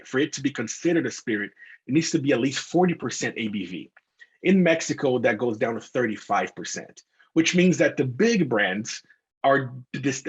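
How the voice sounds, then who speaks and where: A young man from Brazil speaks English. His voice is husky and the sound is a little dull and muffled. He talks eagerly with many fillers. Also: mid-range voice. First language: Portuguese. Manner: enthusiastic, filler-heavy with "like" and "you know". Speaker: bartender and tequila expert. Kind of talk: casual interview talk.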